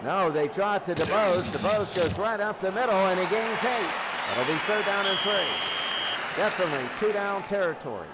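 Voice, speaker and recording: High-pitched male football announcer over loud noise. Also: normal speed, a loud crowd. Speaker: play-by-play sports announcer. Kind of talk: American football radio commentary. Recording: loud steady noise behind the voice.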